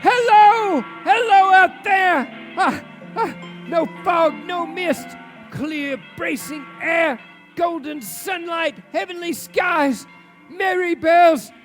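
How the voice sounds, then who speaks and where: An elderly reformed miser in a live radio play, pours out fervent exclamations. The voice is pitched very high but takes its time, repeating words theatrically, with music clearly heard underneath. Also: male voice, slow. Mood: giddy elation. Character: elderly reformed miser, frantic, giddy and overjoyed. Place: theatre stage before an audience. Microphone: shared stage microphone. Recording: live stage recording with music behind.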